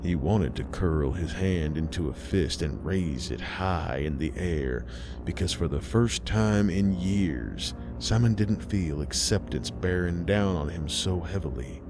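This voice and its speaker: Slow, deep-voiced male horror storyteller. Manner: folksy, unhurried, addresses the listener directly. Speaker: storyteller host.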